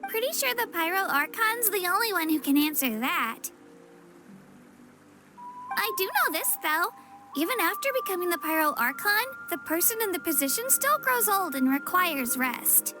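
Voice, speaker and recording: A woman's voice: extremely high pitch, big pitch swings, moderate pace. A young woman playing an upbeat, helpful girl guide in a video game, speaking in a friendly, chatty, eagerly explaining way. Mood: earnest, explaining. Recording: music behind the voice. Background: game music.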